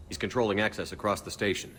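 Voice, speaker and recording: An actor playing a principled military commander in a video game, firm, direct and dry. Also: male voice, lowish voice, steady tone, fast, fairly bright tone, a little hiss or hum, natural voice. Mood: firm, determined. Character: resolute, principled male military commander hero. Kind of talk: video game cutscene acting.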